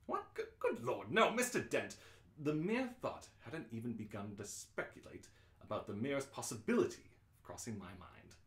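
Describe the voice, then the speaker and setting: A man's voice: medium pitch, wildly swooping pitch, normal speed. A man playing a flustered, polite, officious demolition foreman in an amateur radio play, hesitant and deferential. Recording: roomy, echoing live reading.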